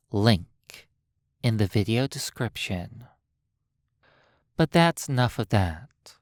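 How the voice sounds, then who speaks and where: A hypnotist narrating a guided sleep session in a soothing manner, leaving long pauses between short phrases. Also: medium pitch, no echo, studio quality.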